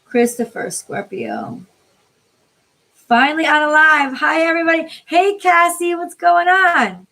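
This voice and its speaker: An American woman from the New York City area reads tarot on a live stream, chatting informally with viewers by name, her voice tiring and getting a little hoarse. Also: high voice, a little slow, bright, forceful tone. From New York City area, USA. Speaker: tarot card reader, livestream host.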